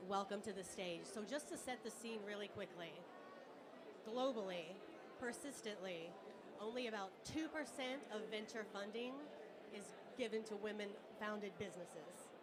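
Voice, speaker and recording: A woman moderating a conference panel, introducing the speakers with brisk, upbeat energy. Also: mid-range voice, background noise.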